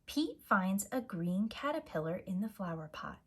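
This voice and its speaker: A woman reading a picture book aloud to young children in an expressive, deliberate manner as a storyteller. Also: medium pitch, husky. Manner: slow, expressive, simple deliberate phrasing. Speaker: storyteller, preschool teacher.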